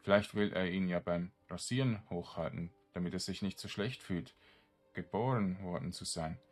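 A man's voice: deep voice, normal speed. A man streaming a game, commenting informally to himself and his viewers and reading out game text. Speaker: gaming streamer, let's player. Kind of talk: casual game commentary with some read-aloud game text.

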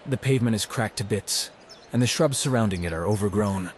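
A man's voice: lowish voice, brisk, a little hiss or hum. A man reading first-person scripted narration in a brooding, sardonic manner. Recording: music under the voice. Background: music.

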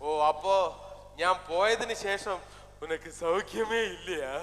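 An actor playing the scolding ghost of a grand film-star leader in a stage comedy, theatrical and declamatory. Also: male voice, sits high, takes it slowly, a bit bright. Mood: solemn. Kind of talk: stage comedy skit acting. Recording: echoey stage hall with music.

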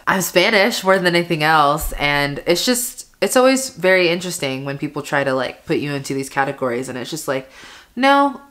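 Low-voiced young woman chatting over makeup. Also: fairly bright tone. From family from Panama, raised in America. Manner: rambling, lots of "like" fillers. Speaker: beauty vlogger. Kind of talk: casual get-ready-with-me chat while doing makeup. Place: bedroom at home, indoors.

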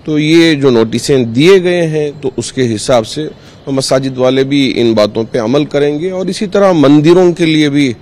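A middle-aged man giving an interview statement in a halting way with drawn-out pauses, speaking into a handheld news microphone. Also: a little high, a little mellow, a little hiss or hum. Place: indoors in a mosque hall.

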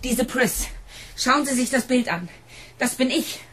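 A woman acting a cool, proud, seductive android in an intimate radio drama, with deliberate delivery. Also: high-pitched, fairly bright tone, a little hiss or hum. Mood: agitated.

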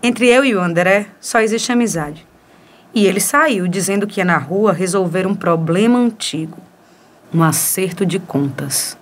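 An actress playing a calm, concerned lady in a radio soap opera, with a Brazilian accent. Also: mid-range voice, moderate pace, close to an everyday voice. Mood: concerned, reassuring.

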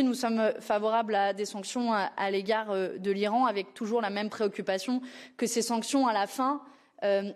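A woman's voice: medium pitch, a little mellow. A woman in her thirties answering questions at a press briefing from a podium microphone. She speaks combatively, with frequent fillers and restarts. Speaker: party group leader at a press briefing.

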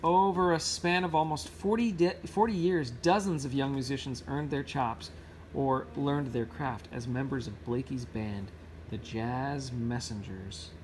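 A man's voice: mid-range voice, fairly even intonation, measured pace, noisy background. A man reading a children's picture book aloud informally, now and then stumbling and correcting himself. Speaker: read-aloud host.

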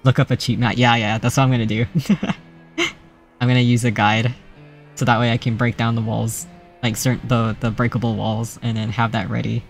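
Soft-spoken streamer man with gravelly fry. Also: medium pitch, normal speed. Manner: soft-spoken, laughs often, self-described monotonous voice. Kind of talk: casual stream sign-off chat. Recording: faint game music behind.

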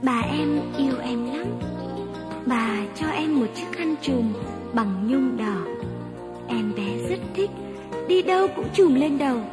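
A woman narrating a children's fairy tale in a slow, gentle, carefully paced reading. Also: sits high, lively intonation. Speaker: storyteller. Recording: reverberant with background music.

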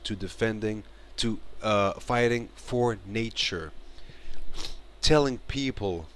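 A man's voice: fairly low pitch, fairly bright tone. A man in his thirties from Morocco, talking earnestly to camera on a headset mic in a bedroom, often repeating and restarting phrases. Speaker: vlogger. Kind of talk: casual spoken commentary to camera. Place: bedroom, small indoor room.

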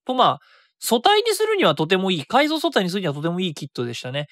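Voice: medium pitch, wildly swooping pitch, fast, fairly bright tone, no room sound, clean studio sound. A radio host chatting casually and solo about a hobby, thinking aloud and self-correcting along the way.

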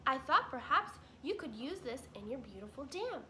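A woman voicing a polite, calm female robot who speaks in formal, careful sentences. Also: high-pitched, normal speed, slight background noise, full character voice. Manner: formal, even, careful speech.

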